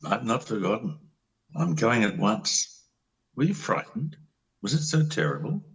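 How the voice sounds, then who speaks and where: A man playing a disillusioned, lovelorn country doctor in an amateur play reading, with a husky voice and a theatrical, halting delivery. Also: on the low side, very animated, distant mic, full character voice, low-quality recording. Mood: pleading, intense.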